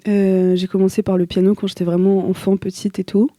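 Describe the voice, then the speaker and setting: A young woman from Italy, a singer, chats loosely in an interview. Her voice is very dark and mellow, with a flat, even melody. The sound is close and dry. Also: medium pitch, moderate pace, no room sound. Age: young adult. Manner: relaxed, slangy, searching for words. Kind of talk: casual interview chat.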